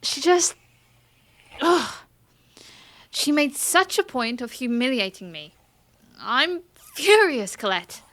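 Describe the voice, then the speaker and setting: A woman's voice: high-pitched, big pitch swings, takes it slowly, long pauses, fairly bright tone, no room sound, heavily acted. A woman playing a proud, sulky young princess in a fantasy audio drama, with a formal and petulant way of talking. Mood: indignant, exasperated.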